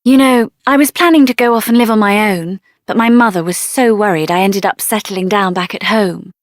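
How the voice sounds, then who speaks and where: A woman voicing a spirited, headstrong young heroine in a fantasy video game, speaking in an earnest, expressive, plain-spoken way. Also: mid-range voice, a little fast, no echo, clean studio sound, natural voice. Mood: warm, chatty. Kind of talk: video game character voice acting.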